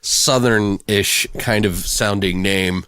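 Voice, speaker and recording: A man's voice: on the low side, steady tone, takes it slowly, bright, forceful tone, clean tone, no room sound. A man hosting a podcast in casual, brainstorming chat, informal and prone to swearing. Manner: profane, informal. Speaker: podcast host.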